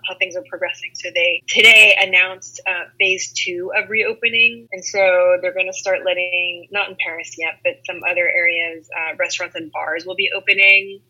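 Raspy, bright-voiced woman on a call. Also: lowish voice, lo-fi sound. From Seattle, USA. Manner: relaxed, quick, with fillers. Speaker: company founder, guest. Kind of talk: casual video-call interview. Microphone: video call.

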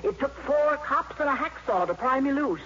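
A proud, no-nonsense grandmother, with big swings of pitch and emphatic delivery. It is 1950s radio comedy acting, muffled with a little background noise. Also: expressive, normal speed, a bit bright. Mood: proud, indignant. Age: older. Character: feisty, proud old grandmother, staunch former suffragette. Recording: dull, noisy vintage radio broadcast.

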